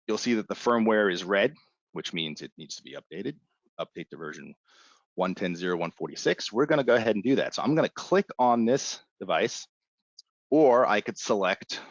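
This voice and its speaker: A man instructing in an informal, step-by-step way, as in a live software walkthrough. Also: lowish voice, no room sound, rough recording.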